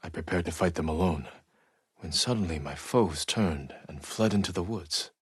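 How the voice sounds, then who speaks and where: An actor playing a stoic, honorable samurai warrior in a video game, with restrained, solemn, reflective delivery and a soft-edged husky voice. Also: male voice, low voice, normal speed, dry recording, clean studio sound. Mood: solemn, earnest. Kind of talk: dramatic video game acting, reflective monologue.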